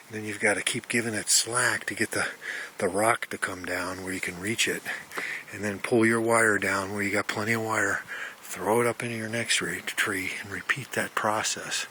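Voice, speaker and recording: Low-voiced man in noisy outdoor audio. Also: bright, forceful tone. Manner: folksy, rambling explanation. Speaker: amateur radio hobbyist. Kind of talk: casual handheld video tour. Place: outdoors in wooded mountain country. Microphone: handheld camera microphone.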